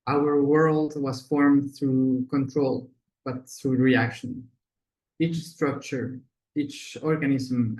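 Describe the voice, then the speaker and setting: A man's voice: mid-range voice, darkish tone, reverberant, low-quality recording. Male presenter, mid-range voice, student project delivery. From Ecuador. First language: Spanish. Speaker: student presenter, product designer. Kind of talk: project presentation, moving into scripted narration.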